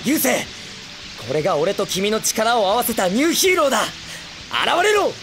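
Anime boy hero: excitable, brash teen, very lively melodic swings, eager exclamations, faint music behind. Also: high voice, moderate pace. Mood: fired up. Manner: cocky, eager, exclamatory, boyish speech. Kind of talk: Japanese anime film acting.

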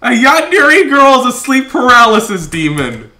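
A man in his twenties hosting a reaction video in casual chat, excitable and repeating his phrases. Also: extremely high pitch, normal speed. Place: small room indoors. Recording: roomy sound, faint noise.

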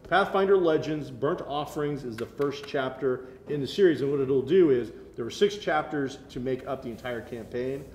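A man's voice: medium pitch, normal speed, hardly any pauses, darkish tone. American guy in his 30s chatting like a show cohost, explains everything, echoey room w faint noise. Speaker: co-host of a geek culture show. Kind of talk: casual review chat. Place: medium-sized indoor room, seated at a table. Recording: roomy echo with faint background noise.

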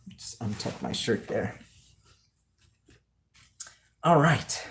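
A man's voice: on the low side, sing-song, very slow, fairly bright tone. A man hosting a casual cooking livestream from his home kitchen, talking off the cuff and jokingly as he works. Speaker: cooking show host. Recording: clearly noisy home kitchen livestream audio.

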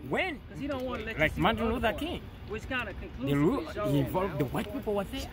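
A man's voice: sits high, sing-song, unhurried. A man from Uganda with a Ugandan accent, talking earnestly and agreeably at a street protest. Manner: earnest, agreeable, often murmuring assent. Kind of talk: street conversation. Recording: loud protest crowd behind.

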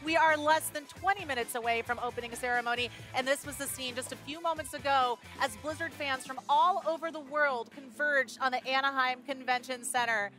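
A woman's voice: high-pitched, a little fast, hardly any pauses, pushed and bright, soft background music. A woman hosting a live event broadcast from a studio desk, upbeat and enthusiastic. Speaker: host.

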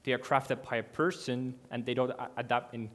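A man's voice: medium pitch, little pitch movement. Man giving an earnest talk on stage. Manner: earnest, halting, with restarts and non-native grammar. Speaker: conference speaker. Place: large dark hall with a seated audience.

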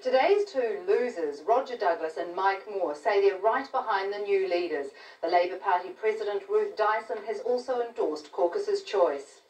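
A woman's voice: medium pitch, normal speed, few pauses, darkish tone. A woman in her thirties with a New Zealand accent, reading scripted television news. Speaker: news presenter. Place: television newsroom studio. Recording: roomy, distant capture of TV broadcast.

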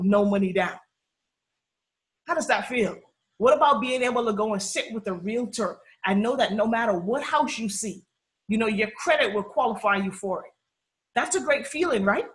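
A woman's voice: fairly high pitch, fairly bright tone, rough recording. Upbeat Bahamian woman hosting a webinar. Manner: chatty, upbeat, frequent "you know" and "okay?" check-ins. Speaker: personal finance webinar host. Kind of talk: live webinar presentation.

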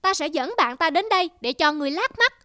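A woman's voice: very high-pitched, animated, quick pace, sharp tone, no room sound, clean studio sound, exaggerated voice. A woman voicing a stubborn, proud young wolf in a cartoon fable, indignant and declamatory. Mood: angry, defiant.

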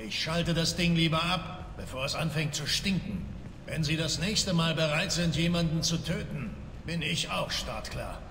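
An actor playing a hard, cynical middle-aged bounty hunter in a video game, blunt and callous. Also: male voice, fairly high pitch, moderate pace, fairly bright tone. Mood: dry. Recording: echoey hall with faint noise.

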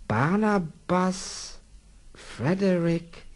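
An actor playing a kindly, simple-minded man in a children's radio play, speaking in halting, broken sentences with mumbled repetitions. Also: high voice, animated, exaggerated voice. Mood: halting, pleased.

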